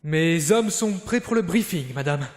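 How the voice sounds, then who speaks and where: A man's voice: a little high, animated, unhurried. A man playing a dutiful, deferential team supervisor in an audio drama, speaking politely. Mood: deferential, uneasy. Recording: echoey long reverb, music underneath.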